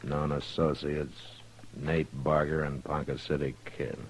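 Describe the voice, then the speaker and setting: Deep-voiced, weary frontier marshal. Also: male voice, flat delivery. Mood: businesslike. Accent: American. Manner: terse, measured, gruffly courteous. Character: weary, steady frontier lawman. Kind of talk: old-time radio drama acting. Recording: noisy old radio recording.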